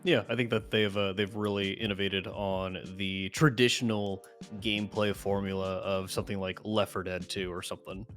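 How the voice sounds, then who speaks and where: A man's voice: fairly low pitch, flat delivery, moderate pace, a bit bright. A man appearing as a guest in a casual gaming chat, talking in an informal, slangy manner. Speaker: guest co-commentator. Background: background music.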